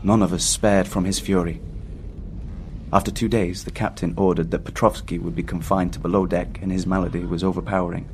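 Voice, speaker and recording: An actor playing a haunted seafarer recounting a doomed voyage in solemn, scripted first-person horror narration. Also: male voice, sits low, level tone, a little fast, slight background noise, natural voice. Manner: solemn, literary storytelling.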